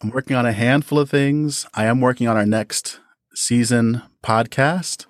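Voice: medium pitch, moderate pace, no room sound, studio quality. A guest of about thirty giving thoughtful, articulate and enthusiastic answers in a conversational interview. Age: around 30. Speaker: interview guest, audio drama podcast creator.

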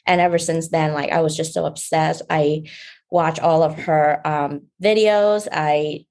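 Young woman from Vietnam, beauty founder; soft, unhurried guest storytelling with small laughs. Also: sits low, steady tone. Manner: soft-spoken, fillers and restarts, light laughs. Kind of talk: casual interview talk.

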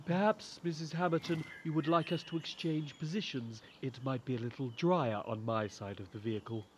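An actor playing a pious, obsequious Georgian parson, formal and unctuous, in a period audio drama. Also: male voice, on the high side, brisk, a little hiss or hum, close to an everyday voice. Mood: courteous.

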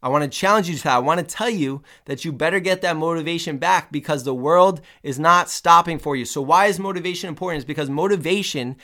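A man's voice: on the high side, quick pace, hardly any pauses, pushed and bright. A man hosting a fitness podcast, talking with emphatic energy and repeating key points, sounding a little nasal while getting over the flu. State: recovering from the flu. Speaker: podcast host. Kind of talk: motivational fitness podcast talk.

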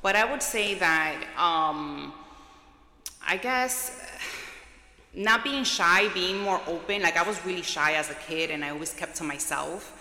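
A woman school social worker, a native Spanish speaker raised partly in Puerto Rico, recalling her childhood in a soft, hesitant way with many fillers. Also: lowish voice, measured pace, echoey room, in a large hall. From New York, with childhood in Puerto Rico. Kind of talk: interview, personal recollection.

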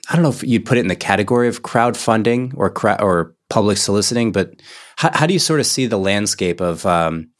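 A man interviewing a guest, asking long questions while searching for words. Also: on the low side.

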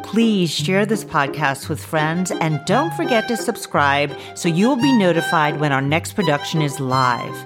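Female podcast announcer, low register, scripted sign-off read over a violin music bed. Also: lively intonation, a little slow, few pauses. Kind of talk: scripted outro narration.

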